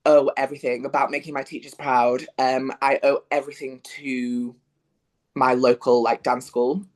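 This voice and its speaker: A man's voice: medium pitch, little pitch movement, moderate pace. A young man from Yorkshire with a Northern English accent, chatting as an interview guest, full of fillers and restarts. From Yorkshire, England. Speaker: guest, musical theatre performer. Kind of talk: casual interview chat.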